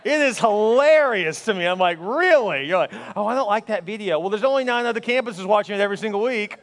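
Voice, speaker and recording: A pastor in his forties preaching a sermon to a large congregation, energetic and conversational, with quips thrown at the crowd. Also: sits high, quick pace. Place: large church auditorium. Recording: long hall reverb.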